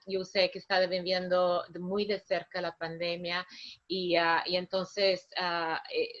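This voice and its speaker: A woman who speaks as a non-native, hosting a webinar with spontaneous, halting remarks as she searches for words. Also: on the low side, bright, forceful tone. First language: not Spanish. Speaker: webinar host. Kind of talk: spontaneous closing remarks and thanks. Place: online video webinar. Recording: dull lo-fi online call.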